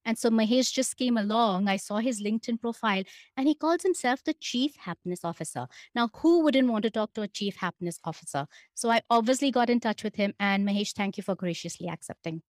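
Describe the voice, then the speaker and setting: A woman's voice: a little high, a little fast, hardly any pauses, dry recording. A woman hosting a podcast interview, welcoming her guest and speaking directly to her listeners in an encouraging way. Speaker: podcast host.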